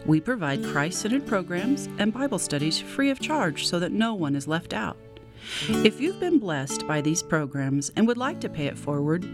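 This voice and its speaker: Woman reading an ad over guitar. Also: lowish voice. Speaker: announcer. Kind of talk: scripted donation ad read.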